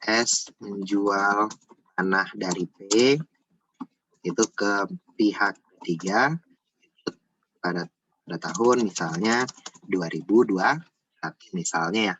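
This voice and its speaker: A man's voice: sits low, takes it slowly, long pauses, fairly bright tone, rough recording. A man giving an informal step-by-step tutorial, repeating himself and often adding small checking tags. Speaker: accounting tutor.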